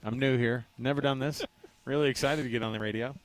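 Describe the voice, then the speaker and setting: A man's voice: medium pitch, steady tone, fast talker. An American man hosting sports talk radio in an informal, conversational way. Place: outdoors at a ballpark.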